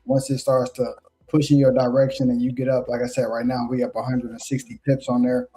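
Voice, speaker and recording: A man's voice: medium pitch, monotone, fairly quick, warm tone, soft background music, poor audio. A man in his thirties hosting a live trading show, coaching viewers in a casual, slangy way. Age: 30s.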